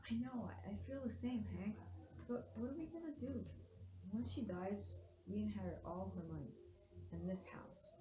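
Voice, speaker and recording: A woman's voice: mid-range voice, normal speed, highs cut off, background noise, close to an everyday voice. A woman playing a put-upon niece and anxious, compliant secret lover, hesitant, in a table read of a film script. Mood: anxious longing. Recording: echoey room with people around.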